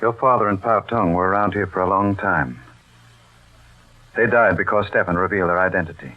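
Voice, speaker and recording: An actor playing a stern, decisive army major in an old radio drama, grim and authoritative in short, clipped phrases. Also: male voice, low-pitched, fairly quick, close to an everyday voice. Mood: accusing. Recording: tinny, band-limited old radio recording.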